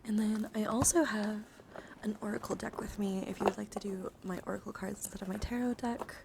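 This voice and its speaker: A woman hosting an ASMR livestream, chatting in soft whispers and hushed talk, with slow, repetitive phrasing. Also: medium pitch, fairly even intonation. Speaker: livestream host. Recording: clear background noise on livestream.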